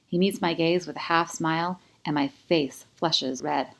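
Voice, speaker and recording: Low-pitched woman narrating an audiobook. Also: steady tone, measured pace, gravelly. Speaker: author narrating own audiobook. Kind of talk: first-person scripted audiobook narration.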